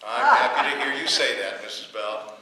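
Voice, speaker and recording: A man's voice: lowish voice, big pitch swings, fairly quick, gravelly, pushed and bright, heavily acted. An American man playing a wry, put-upon husband in an old domestic radio comedy, speaking with dry sarcasm. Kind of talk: 1940s radio comedy acting and first-person narration. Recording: echoey, distant old radio recording, faint noise.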